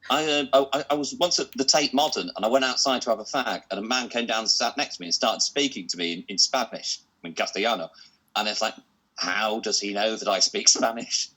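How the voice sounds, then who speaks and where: A man's voice: fairly low pitch, a little fast, bright, forceful tone, husky, rough recording. A man guest comedian telling stories on a video call, often repeating words as he starts. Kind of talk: anecdotal comedy chat.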